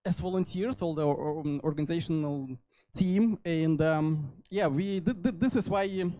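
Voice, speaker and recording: Hesitant Russian-accented man, mellow voice. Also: on the high side. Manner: halting delivery with frequent hesitations. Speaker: conference speaker, software developer. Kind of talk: informal technical presentation. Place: indoor meetup venue. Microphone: handheld microphone.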